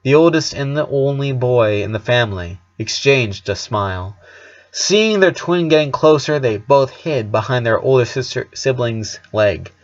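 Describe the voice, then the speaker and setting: A man's voice: medium pitch, expressive, measured pace. A man narrating a story aloud as a reader who now and then stumbles on a word, recorded slightly dull. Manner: occasional stumbles over words while reading. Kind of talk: fan fiction read aloud.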